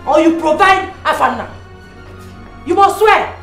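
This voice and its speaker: A woman's voice: medium pitch, sing-song, measured pace, soft background music. An actress playing an imperious royal woman who commands and insists. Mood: commanding. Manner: commanding, insistent, repeating demands. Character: imperious royal woman backing the missing heir.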